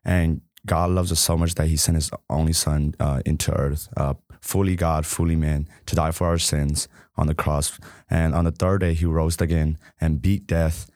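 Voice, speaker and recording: Brief: man from Burma, very low voice, dry close sound, gentle guest testimony. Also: level tone, moderate pace, hardly any pauses, no room sound. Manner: soft-spoken, many "like" fillers. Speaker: podcast guest. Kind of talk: casual testimony.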